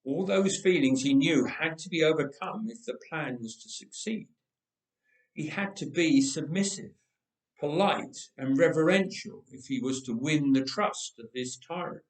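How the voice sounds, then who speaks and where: Unhurried male storyteller in an echoey room. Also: on the high side, far from the mic. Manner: measured, expressive delivery with deliberate pauses. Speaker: storyteller reading aloud. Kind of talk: dramatic scripted story narration. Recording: roomy, echoing indoor sound.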